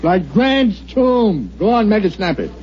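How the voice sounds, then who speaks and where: An American actor playing a gruff, impatient veteran newspaper editor who barks clipped orders, in old-time radio drama style. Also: male voice, sits high, very animated, measured pace, a crowd in the background. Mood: urgent, commanding. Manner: barking orders in clipped newsroom slang. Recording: dull vintage radio recording, crowded background.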